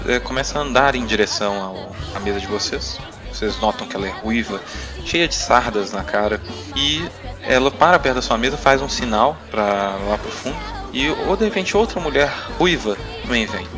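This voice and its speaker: A man narrating a tabletop roleplaying game as game master, with music behind him. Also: medium pitch. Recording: clear music bed behind.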